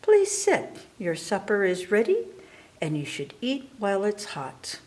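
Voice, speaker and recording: A woman's voice: medium pitch, wildly swooping pitch, a little slow, darkish tone, voice pushed up. A woman reading aloud, voicing a brave but respectful young peasant girl in a storybook. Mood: polite. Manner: respectful, meek.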